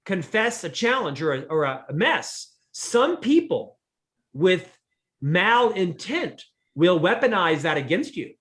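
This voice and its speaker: A man's voice: sits high, big pitch swings, slow pace, fairly bright tone. A middle-aged man speaking as a podcast guest, telling candid, self-deprecating stories about leadership in a conversational way. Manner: earnest, self-deprecating storyteller. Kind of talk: conversational interview.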